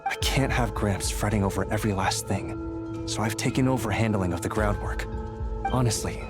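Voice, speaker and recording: A man's voice: lowish voice, moderate pace, lightly acted. A man voicing an earnest, dutiful young squad leader in a video game, explaining things in a friendly way. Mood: serious. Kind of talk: English video game character acting. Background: background music.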